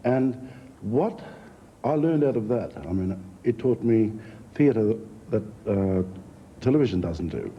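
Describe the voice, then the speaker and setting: A middle-aged Australian man talking reflectively in an interview, in a measured, halting way. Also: fairly low pitch, animated. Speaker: theatre founder, former teacher. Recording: muffled, faintly noisy recording.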